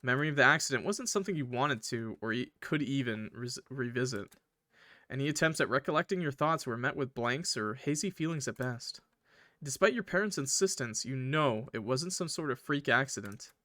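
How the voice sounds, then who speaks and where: Bright-voiced man doing a casual let's play. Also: mid-range voice, expressive, normal speed, no echo. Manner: informal, crude joking asides. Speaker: gaming video host. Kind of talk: casual let's play, reading visual novel narration aloud with commentary.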